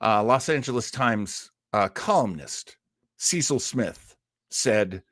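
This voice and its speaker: A man's voice: lowish voice, lively intonation, slow pace, a bit bright, no echo, clean studio sound. A middle-aged man hosting an old-time radio livestream in a casual, chatty, rambling way, effusive and self-deprecating.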